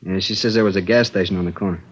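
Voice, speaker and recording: Brisk lowish-voiced policeman. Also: fairly even intonation, breathy edge. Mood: brisk, matter-of-fact. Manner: brisk, matter-of-fact. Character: helpful bilingual policeman interpreting for witnesses. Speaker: radio drama actor, bilingual policeman. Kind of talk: 1950s radio drama acting. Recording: dull vintage radio recording.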